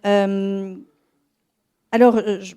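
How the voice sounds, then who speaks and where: A woman's voice: medium pitch, little pitch movement, very slow, dark tone, clean tone. A woman interviewing an author in a bookshop, agreeing often and rephrasing her questions as she goes.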